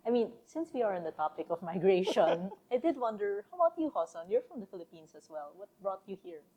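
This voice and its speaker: A woman sociologist speaking as a podcast guest, thoughtful and relaxed, with frequent fillers and easy laughter. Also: mid-range voice, fast talker, highs cut off. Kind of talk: casual interview conversation.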